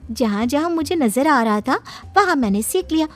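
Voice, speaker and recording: A woman plays a young cartoon daughter-in-law with a high voice over music. She is sly and unapologetic, always making mock-innocent complaints. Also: animated, normal speed. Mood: cheeky excuse-making. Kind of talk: Hindi cartoon moral-story dialogue.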